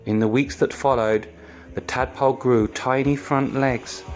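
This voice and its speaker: A man reads a picture book for children at an unhurried pace. He breaks sentences into short, deliberate phrases, and faint music plays along. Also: mid-range voice, steady tone. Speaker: storytime reader.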